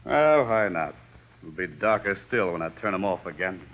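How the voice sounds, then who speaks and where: An actor playing a bullying, sneering prison cop in the style of 1940s radio drama. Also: male voice, deep voice, lively intonation, moderate pace, slight background noise. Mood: mocking, sneering. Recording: dull old radio recording.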